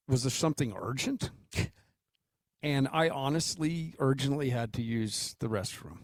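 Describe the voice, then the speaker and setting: Gravelly American man, casual radio storyteller. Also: medium pitch, a little mellow, no room sound. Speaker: sports radio co-host and coach. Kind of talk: casual banter and anecdote telling.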